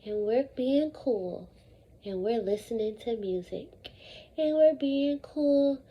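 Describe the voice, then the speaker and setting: A woman teacher leading an interactive lesson for young children, speaking in an animated, repetitive call-and-response way with deliberate emphasis. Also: fairly high pitch, highs cut off. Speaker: elementary school teacher.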